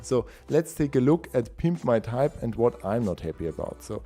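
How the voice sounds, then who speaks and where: Hoarse, enthusiastic man. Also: mid-range voice, very animated, normal speed, warm tone, faint music behind. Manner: enthusiastic, chatty, with laughs and restarts. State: hoarse, a bit unwell. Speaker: host of a typography livestream. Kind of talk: casual live-stream chat.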